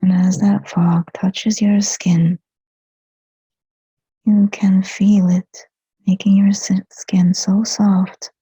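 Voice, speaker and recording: Whispery woman guiding meditation very slowly. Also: mid-range voice, little pitch movement, no room sound. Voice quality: whispery, breathy, soft. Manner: slow, gentle, short phrases with long pauses. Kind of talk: guided meditation, hypnotic and soothing. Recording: dull, muffled lo-fi sound.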